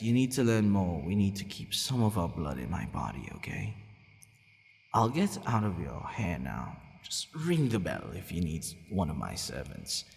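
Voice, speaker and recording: Man acting as a haughty vampire captor, low voice, expressive swings in pitch, possessive yet fond, teasing and commanding, ASMR roleplay. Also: creaky, full character voice. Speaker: audio roleplay voice actor. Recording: long reverberant hall echo.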